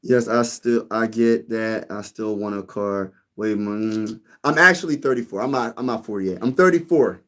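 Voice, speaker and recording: A man's voice: fairly low pitch, moderate pace, hardly any pauses, fairly bright tone. Rambling casual man saying "bro". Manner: rambling, repeats phrases, says "bro" a lot. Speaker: YouTube sports channel host. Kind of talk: casual live-stream chat.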